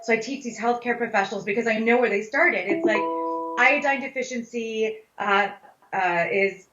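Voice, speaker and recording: A woman's voice: a little high, normal speed, music in the background. A middle-aged woman answering interview questions conversationally as a dietitian guest, stressing points emphatically with repeated phrases. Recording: roomy, slightly dull sound.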